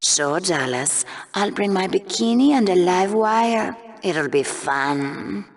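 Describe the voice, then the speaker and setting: A bloodthirsty sci-fi sports co-host in a video game. She speaks slowly at a medium pitch, with a sardonic drawl. Her banter is theatrical broadcast patter. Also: lively intonation. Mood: dry sarcasm. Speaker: co-host, commentator.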